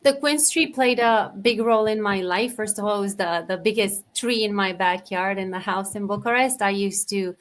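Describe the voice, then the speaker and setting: A woman author from Romania, a guest in an interview, telling her story in an articulate, measured and reflective way. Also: medium pitch. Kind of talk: interview answers, personal storytelling.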